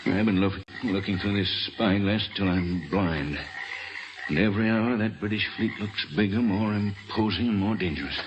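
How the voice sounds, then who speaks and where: An actor in an old radio drama playing an anxious, courteous Revolutionary War general keeping watch. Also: male voice, lowish voice, normal speed, natural voice. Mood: weary, worried. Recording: muffled, noisy old radio recording.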